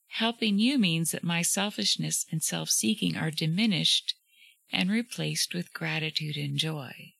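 A woman hosting a reflective solo podcast, talking gently with a soothing voice and frequent fillers. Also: on the low side, gravelly, no room sound. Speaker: podcast host.

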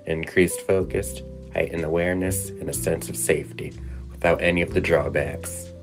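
A smooth salesman in a mock radio commercial. His voice sits very low and he sells in a measured, reassuring way, with light music behind him. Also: steady tone. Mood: soothing sales pitch. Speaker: advertisement announcer. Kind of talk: scripted infomercial voice-over.

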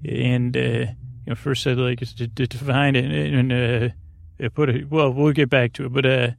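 Guy with a creaky soothing voice doing a rambly sleep podcast, keeps drifting off mid sentence, super dry close sound. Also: medium pitch, level tone, dry recording. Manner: meandering, halting, trailing off mid-thought; lulling, soothing. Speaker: podcast host. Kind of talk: rambling bedtime podcast monologue meant to lull listeners to sleep.

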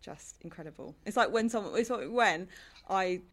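A woman's voice: mid-range voice, normal speed. A young woman hosting her podcast, bubbly and effusive, often restarting her sentences. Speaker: podcast host. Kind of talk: casual interview chat.

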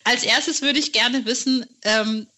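Woman, higher voice, podcast interviewer, enthusiastic, informal, chatty. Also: unhurried, bright, forceful tone.

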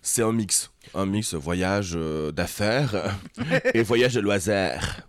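A playful man in his forties chatting as a guest. His voice sits low, and the recording is dry and close. He tells his stories with lively, animated delivery. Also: takes it slowly, no echo. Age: 40s. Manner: animated storyteller, playful, putting on voices when quoting himself. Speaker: guest, drag performer. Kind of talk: casual chat.

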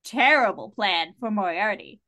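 A woman speaking as a podcast guest in a casual, opinionated discussion, with an animated, wry manner. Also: mid-range voice, normal speed.

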